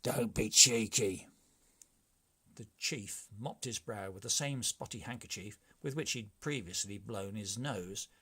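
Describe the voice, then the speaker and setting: Husky man reading a storybook aloud. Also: lowish voice, moderate pace, hardly any pauses, pushed and bright. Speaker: storybook narrator. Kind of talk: expressive children's read-aloud narration.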